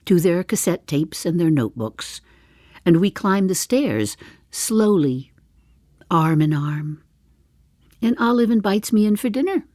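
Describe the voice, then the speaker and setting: An older actress playing a wry, chatty seventy-five-year-old woman in a darkly comic stage monologue, musing to the listener with deliberate pauses. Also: fairly low pitch, dark tone, no room sound, clean studio sound, heavily acted. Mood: dryly amused. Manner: deliberate, dramatic pauses, dropping to confiding asides. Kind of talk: theatrical monologue, storytelling to the listener.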